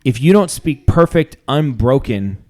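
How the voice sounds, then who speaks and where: A man's voice: mid-range voice, lively intonation, a little slow, darkish tone. A man co-hosting a podcast, joking and ranting in casual chat. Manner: joking, ranting, breaks into mock voices. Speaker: podcast co-host.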